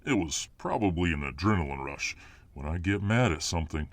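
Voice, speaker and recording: A man's voice: sits low, animated, brisk, no echo. A man playing a huge, confident but modest alpha fireman hero in a casual, self-assured ASMR roleplay. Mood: modest pride. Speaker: voice actor in audio roleplay.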